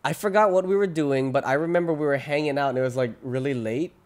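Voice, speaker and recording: A man's voice: on the high side, fast talker. A man as a podcast guest, chatting in an easygoing way and laughing often. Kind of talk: casual chat.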